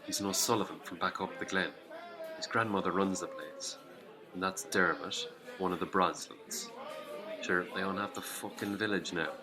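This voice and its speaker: Actor as low-voiced rural Irish uncle, pub chatter behind. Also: male voice, steady tone, moderate pace, natural voice. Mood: gossipy. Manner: casual, colloquial, gossipy. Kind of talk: naturalistic audio drama dialogue.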